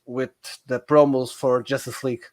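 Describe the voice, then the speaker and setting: A man's voice: mid-range voice, flat delivery, normal speed. A man hosting a casual livestream conversation. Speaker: host.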